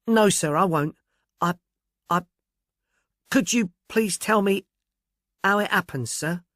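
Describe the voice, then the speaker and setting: A man's voice: high-pitched, slow, fairly bright tone, dry recording, studio quality, pitched above the performer's own voice. A man voicing a timid, deferential working-class young woman in an audiobook, speaking in a hesitant, polite, earnest way. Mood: subdued, anxious. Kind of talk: audiobook character dialogue.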